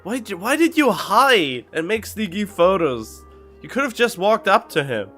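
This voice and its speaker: A game streamer chatting casually while reacting to the game. The voice is mid-pitched and lively in intonation, with faint game music in the background. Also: moderate pace. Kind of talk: casual live game commentary.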